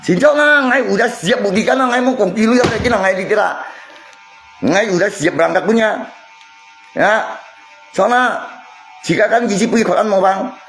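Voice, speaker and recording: Gravelly higher-pitched man. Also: fairly bright tone. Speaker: live streamer. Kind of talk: casual livestream chat, addressing viewers.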